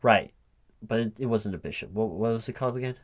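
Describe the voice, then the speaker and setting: A man in a spoken, unwhispered ASMR roleplay, talking casually and haltingly to an unheard partner as if puzzling things out aloud. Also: fairly low pitch. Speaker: recruiting manager interviewing a gamer in a one-sided roleplay. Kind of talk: spoken ASMR roleplay, casual one-sided conversation, not whispered.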